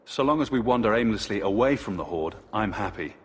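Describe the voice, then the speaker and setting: A wry level-headed fighter. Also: male voice, lowish voice, lively intonation, fairly quick, breathy edge.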